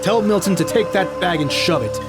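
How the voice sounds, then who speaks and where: An actor playing a defiant, hot-headed guardian of a magical gourd in an audio drama, blunt and indignant. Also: male voice, high voice, lively intonation, brisk, loud music behind the voice. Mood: indignant alarm.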